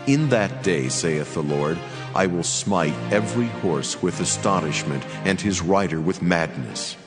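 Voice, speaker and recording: A very low-pitched man proclaims scripture in a solemn, measured way. His voice rings with long reverb, and music plays behind it. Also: expressive. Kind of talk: dramatic scripture narration.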